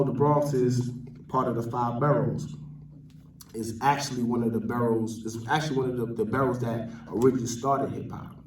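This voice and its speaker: Male New York vlogger, mid-pitched, loose slangy street talk to viewers, noisy distant recording. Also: darkish tone. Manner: slangy, repeats "you know what I'm saying". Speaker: vlogger host. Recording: roomy, distant mic, noisy background.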